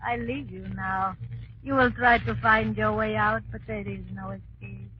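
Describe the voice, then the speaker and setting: An actress plays a native jungle woman guarding a dark secret in a 1940s radio drama. She speaks slowly and ominously, every phrase a deliberate warning. The recording is muffled, with some background noise. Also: mid-range voice, steady tone, natural voice. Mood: menacing.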